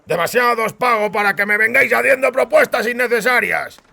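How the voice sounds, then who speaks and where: An actor playing a cruel, raging bandit chief in an audiobook, crude and threatening, with a croaking, raspy voice. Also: male voice, extremely high pitch, a little fast, pushed and bright, full character voice. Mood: menacing, bossy. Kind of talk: dramatised audiobook acting.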